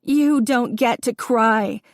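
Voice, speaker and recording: Woman playing a young woman sobbing in rage at her father, pitch flying high, dry close sound. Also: slow pace, a bit bright, no room sound, full character voice. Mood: sobbing, furious. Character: young woman, hurt and angry grown daughter.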